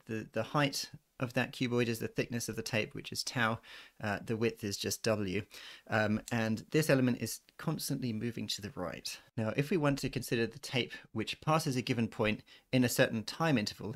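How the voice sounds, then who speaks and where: Low-voiced man with a creaky edge. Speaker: physics tutor. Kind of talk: informal maths lecture, explaining a worked derivation. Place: voice-over on a handwritten screen recording.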